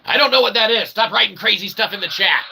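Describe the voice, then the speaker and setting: A man delivering a rambling comic monologue as a channel host, mock-pompous and wordy, with a theatrical delivery and a gravelly voice. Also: sits high, quick pace, background noise. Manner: mock-pompous, wordy, repeatedly ending phrases with "okay". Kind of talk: rambling philosophical comedy monologue.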